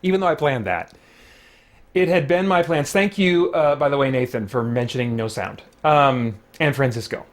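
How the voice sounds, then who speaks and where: A man's voice: mid-range voice, normal speed. A man hosting a live stream with casual, rambling, self-deprecating chat.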